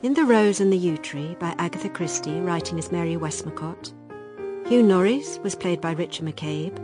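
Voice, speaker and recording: A woman's lowish voice over clear plucked guitar music. She reads scripted closing credits as an announcer. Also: expressive, moderate pace.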